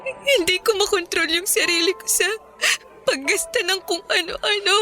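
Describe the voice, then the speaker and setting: Young Filipina girlfriend, very high pitch, sharp tone, defensive, emotional, radio drama. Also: normal speed, soft background music. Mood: tearful, ashamed.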